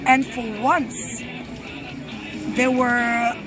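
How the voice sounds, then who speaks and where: Young woman, fluent clear english, bit high voice, loud music behind, street protest. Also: flat delivery, extremely slow. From Germany. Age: young adult. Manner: fluent, articulate, explanatory. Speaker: student protester being interviewed. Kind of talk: street interview.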